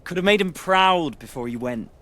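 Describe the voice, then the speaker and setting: A young actor playing a reluctant young hero who talks in an earnest, hesitant way. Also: male voice, sits high, lively intonation, normal speed, sharp tone. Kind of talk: video game cutscene acting.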